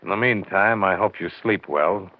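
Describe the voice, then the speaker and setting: An actor playing a tough, blunt insurance investigator in a 1950s radio crime drama. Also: male voice, deep voice, moderate pace, no room sound. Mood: accusing. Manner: hard-boiled, blunt, pressing. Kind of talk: 1950s radio drama acting and first-person narration. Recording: narrow-band vintage radio recording.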